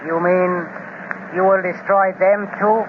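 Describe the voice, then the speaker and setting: A man's voice: high voice, little pitch movement, a little slow. An actor playing a servile, formal henchman who speaks stiffly and deferentially, in a 1930s radio serial. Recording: tinny, hissy old radio transcription.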